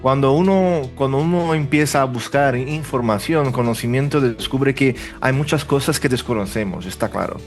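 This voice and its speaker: A man from Brazil, a native Portuguese speaker, being interviewed in a relaxed way, thinking aloud with restarts and fillers. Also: mid-range voice, moderate pace, few pauses, soft background music. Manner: rambling, self-correcting, thinks aloud with fillers. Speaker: interpreter and language teacher, podcast guest. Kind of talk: casual interview conversation.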